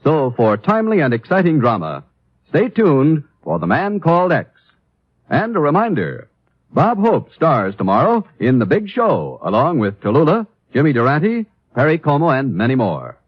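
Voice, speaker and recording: 1950s radio announcer, American man: very lively swooping delivery, scripted, dull old broadcast sound, faint music. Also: fairly high pitch, moderate pace, no room sound. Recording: muffled, husky-sounding old radio recording.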